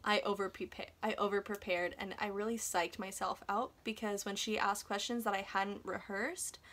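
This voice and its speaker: A woman's voice: medium pitch, steady tone, moderate pace, a bit bright. A young woman talking casually in a chatty, self-deprecating way, like a vlogger sharing advice. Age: young adult.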